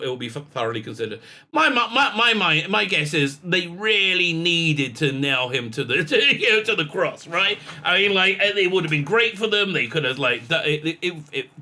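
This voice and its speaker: Male channel host, raspy from illness, bright tone, halting delivery full of fillers. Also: on the high side. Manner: halting, with many fillers and restarts, tags lines with "right?"; blunt and scornful. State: sick with a raspy voice. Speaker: online commentary channel host. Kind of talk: casual spoken commentary to camera.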